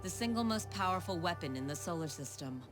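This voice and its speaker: An actress voicing a defiant woman warrior narrating in an earnest, confiding way, over loud game music. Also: fairly low pitch, animated, a little fast, natural voice. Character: defiant woman guardian, rule-breaking. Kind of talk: scripted narration.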